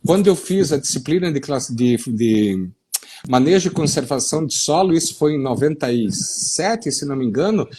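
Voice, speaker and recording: A middle-aged man from Brazil's south talks with a gaúcho-tinged accent, his voice a touch high and husky. He explains at length, circling back with fillers and restarts, heard over a video call. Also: expressive, normal speed, a little mellow. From western Paraná, Brazil. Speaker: soil science consultant on a live stream. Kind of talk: explanatory live-stream talk.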